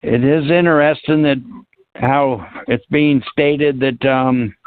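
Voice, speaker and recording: A man's voice: medium pitch, fairly even intonation, slow pace, no echo. A man giving his thoughts in an unscripted discussion, hesitant and searching for words. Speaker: discussion panelist. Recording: dry, phone-like narrow-band audio.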